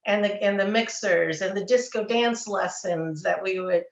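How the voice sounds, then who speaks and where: A woman's voice: medium pitch, normal speed, gravelly. Texas lady author telling stories, stumbles on words, echoey dull sound. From Texas, USA. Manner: storytelling, repeats words, chuckles. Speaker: author guest. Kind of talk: casual interview chat.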